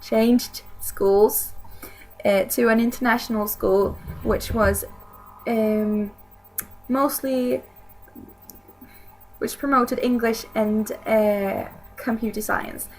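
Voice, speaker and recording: Young woman vlogging, kinda high voice, lots of vocal fry, rambles and restarts a lot. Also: steady tone, slow, pauses often, soft background music, rough recording. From Sweden. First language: Swedish. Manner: hesitant and rambling, many restarts. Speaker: YouTuber. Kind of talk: casual chat to camera.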